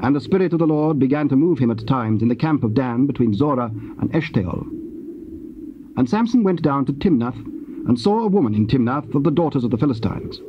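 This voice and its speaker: A man narrating scripture from a script in a solemn, formal, measured storytelling manner. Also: mid-range voice, lively intonation. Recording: dull, noisy old cassette tape.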